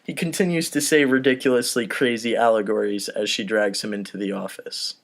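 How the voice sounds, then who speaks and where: A man's voice: lowish voice, steady tone, a little fast, vocal fry. A man hosting a casual comedy table read, reading stage directions and giving informal, self-deprecating asides.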